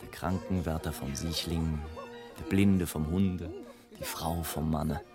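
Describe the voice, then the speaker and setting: An actor playing a scornful airship traveller in a radio play, delivering a sardonic, declamatory monologue as a bitter satirist of mankind. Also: male voice, a bass voice, steady tone, slow, exaggerated voice. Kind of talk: literary radio play monologue, late 1980s. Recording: music behind the voice. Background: music.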